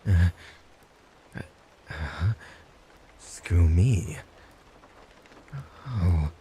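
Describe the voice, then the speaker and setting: A man playing a creepy, blunt, unhinged stalker neighbor in a roleplay audio drama, sardonic and halting, prone to giggles and mutters. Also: low-pitched, mellow, a little hiss or hum. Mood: mocking, blunt. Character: creepy, blunt, unhinged yandere male next-door stalker.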